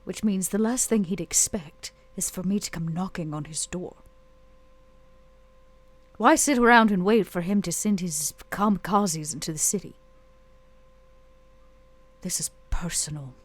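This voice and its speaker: A woman plays a guarded vampire woman in a tabletop game, her voice on the low side. She is proud and bitter, and speaks in curt, deliberate phrases with weighty pauses. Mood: brooding, calculating. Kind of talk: in-character tabletop roleplay.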